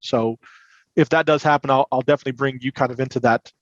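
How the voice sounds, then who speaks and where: A man's voice: mid-range voice, steady tone, fast. A man talking as an expert interview guest, explaining things earnestly in a conversational tone on muffled, roomy audio. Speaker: podcast guest, credit union compliance and recovery manager.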